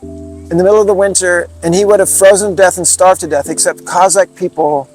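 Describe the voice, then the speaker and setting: An American man from Montana, a guest in an interview, explaining things earnestly and telling stories in a relaxed conversational way. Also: high voice, fairly quick, faint music behind, rough recording. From Montana, USA. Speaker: interview guest, speaking club founder.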